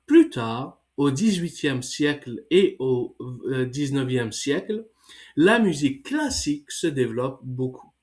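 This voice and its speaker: Slow-reading teacher with a cold. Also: male voice, mid-range voice. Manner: slow, careful reading with hesitant fillers. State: has a cold. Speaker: French teacher. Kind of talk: reading a French text aloud for learners, then explaining exercises in English.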